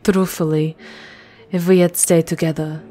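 A woman playing an elegant vampire countess, soft, intimate and courtly, in an ASMR roleplay. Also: low-pitched, level tone, normal speed. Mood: earnest, wary. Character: elegant vampire countess, tender yet formidable lover.

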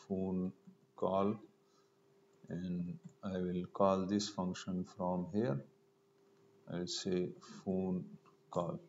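Male tutorial presenter, slow and halting, thinking aloud through each step, lo-fi mic. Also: deep voice. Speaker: programming instructor. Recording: dull lo-fi mic with noise.

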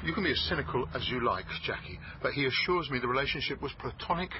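A man's voice: medium pitch, expressive, quick pace, highs cut off, a little hiss or hum, natural voice. A man as a guarded, defensive police boss. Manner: clipped, guarded. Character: evasive, defensive male senior police officer. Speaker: actor in a radio crime drama. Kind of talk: naturalistic British radio drama acting.

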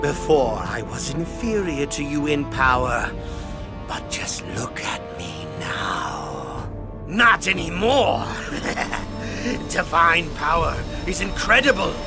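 A power-mad, resentful apprentice mage from a video game. The voice is high and gravelly, theatrical and drawn out, full of arrogant boasting. Loud music plays underneath. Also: male voice, very animated, takes it slowly, exaggerated voice. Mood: bitter, mocking. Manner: theatrical, boastful, cackling and shouting. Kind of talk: dramatic video game acting.

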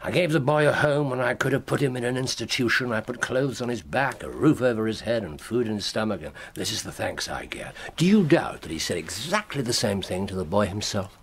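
An actor playing a brilliant, musing English detective in a radio drama, with deliberate, theatrical phrasing. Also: male voice, mid-range voice, animated, a little hiss or hum, close to an everyday voice. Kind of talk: BBC radio drama acting.

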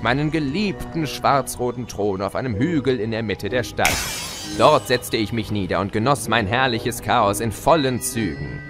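Guy doing a smug chaos villain for audio drama, super animated, mocking, music behind. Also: medium pitch, normal speed, lightly acted. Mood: smug storytelling. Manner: mocking, gloating, theatrical. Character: gleeful, theatrical male chaos spirit, mocking villain. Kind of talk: audio drama narration in character.

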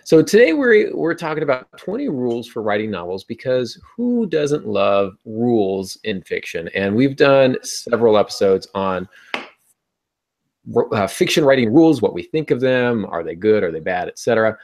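A man's voice: medium pitch, sing-song, moderate pace, a little mellow. A middle-aged man hosting a casual discussion over a video call, informal and given to joking asides. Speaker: podcast host. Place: home office indoors, video call.

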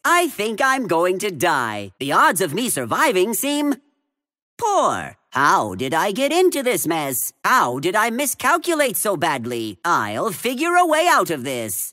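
A gleeful little mad inventor from a video game, cocky and scheming. His delivery is theatrical and smug, leaping dramatically up and down in pitch. The sound is very dry, with no room around it. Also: sharp tone, no room sound, full character voice. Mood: worried. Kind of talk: video game character voice lines.

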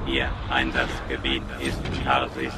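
Very deep military computer. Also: male voice, measured pace, heavy background noise. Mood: impassive, commanding. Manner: flat, repetitive, mechanical commands. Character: impassive military computer control-centre voice issuing commands. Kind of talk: German science fiction radio drama.

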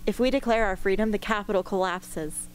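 A young woman playing a determined, anguished teenage girl rebel in an amateur radio play, speaking earnestly and with measured care. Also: medium pitch, steady tone, slight background noise, natural voice. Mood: sombre, resolute. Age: young adult. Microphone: close studio microphone on stand.

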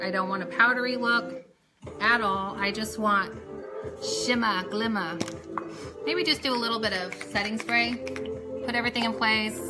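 A woman's voice: on the high side, poor audio. A woman in her thirties hosting a casual beauty live stream, chatting in a rambling way as she works. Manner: chatty, rambling, talks while doing hair and makeup. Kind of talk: casual live tutorial chat.

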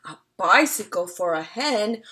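A woman reading a storybook aloud as incredulous, dismissive bike shopkeepers, with an exaggerated, drawn-out delivery. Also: medium pitch, lively intonation, sharp tone, pitched below the performer's own voice. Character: dismissive city bicycle shopkeepers, incredulous, snooty.

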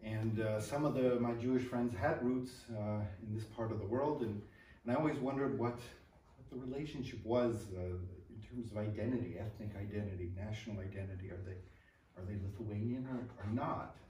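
A man's voice: fairly low pitch, dark tone, slight background noise. American man reading poems in an echoey hall. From upstate New York, USA. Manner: hesitant, filler-heavy remarks, then measured reading. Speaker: poet and translator. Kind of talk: poetry reading. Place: library hall, indoors. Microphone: room mic from a distance. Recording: echoey room, distant mic.

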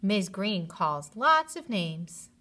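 Bright-voiced woman reading to young children. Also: medium pitch. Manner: expressive, slow, clearly addressed to children. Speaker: kindergarten teacher. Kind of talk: read-aloud storytelling for young children.

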